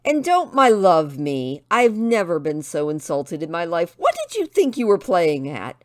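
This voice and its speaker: A woman voicing a tough, angry young woman in an audiobook, rasping and scolding with indignation. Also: fairly low pitch, unhurried, a little mellow, no echo, clean studio sound, natural voice. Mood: indignant. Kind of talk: audiobook character dialogue.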